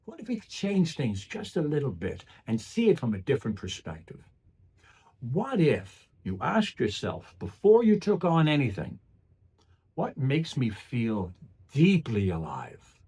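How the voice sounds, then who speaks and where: A man's voice: medium pitch, wildly swooping pitch, unhurried, darkish tone, slightly rough. A man delivering a motivational speech in an earnest, emphatic way, often posing rhetorical questions. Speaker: public speaker.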